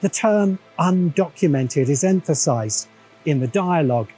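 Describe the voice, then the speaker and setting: A man's voice: on the high side, animated, a little slow, mellow, husky, faint music behind. An English man delivering scripted video essay narration in a didactic, wry way. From England.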